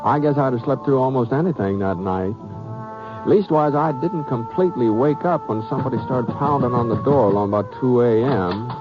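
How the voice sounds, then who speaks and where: An easygoing American cowboy, played by a man, talks in a folksy, laconic drawl with a mid-pitched voice. He belongs to an old radio western, and the sound is dull and vintage. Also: fairly quick, music in the background, close to an everyday voice. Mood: wry, relaxed. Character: easygoing, plain-spoken cowboy who narrates his own tale. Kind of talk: old-time radio western acting and narration. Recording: muffled vintage radio recording.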